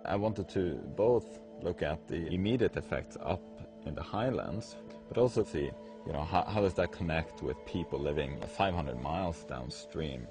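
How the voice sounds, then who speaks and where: A man's voice: sits low, expressive, normal speed, music in the background. A man from Norway, a photographer talking thoughtfully in a documentary interview, sometimes restarting his sentences.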